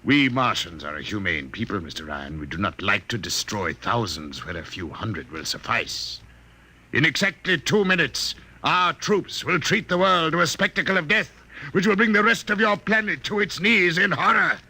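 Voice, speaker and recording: Gloating Martian villain, raspy, forceful, suave, mock-courteous, deliberate, vintage radio drama. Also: male voice, medium pitch, lively intonation, exaggerated voice. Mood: grandly menacing. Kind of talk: old-time radio drama acting.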